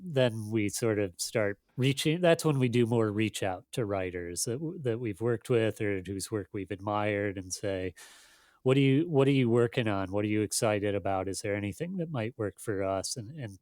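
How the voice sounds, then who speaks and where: A man speaking as an interviewed editor, casual and halting, thinking aloud with lots of conversational fillers. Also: lowish voice, expressive, a little mellow, no room sound. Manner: thinking aloud, halting, peppered with "you know" and "like". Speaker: magazine editor being interviewed.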